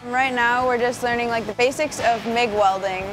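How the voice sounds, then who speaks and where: A woman's voice: on the high side, fairly even intonation, normal speed, low-quality recording. Friendly young woman welding student. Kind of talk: promotional interview. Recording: music bed underneath.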